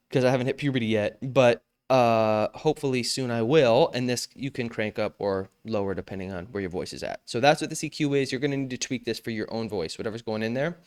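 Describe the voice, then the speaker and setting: Smooth-voiced young man teaching vocal mixing. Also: lowish voice. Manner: relaxed, slangy, self-joking. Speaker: music production tutor. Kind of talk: casual screen-recorded mixing tutorial.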